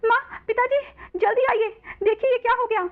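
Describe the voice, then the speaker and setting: Lady doing a sweet big sister in a kids story, high lively voice, earnest and emotional, thin phone-like audio. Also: fairly quick, faint music behind. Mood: distraught. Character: sweet, tearful, trusting elder sister, a young woman. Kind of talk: children's story acting.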